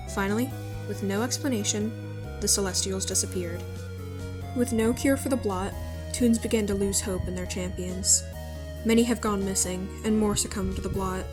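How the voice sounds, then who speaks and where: Solemn woman narrator over music. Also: medium pitch. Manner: solemn, measured storytelling. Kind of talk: scripted fantasy narration.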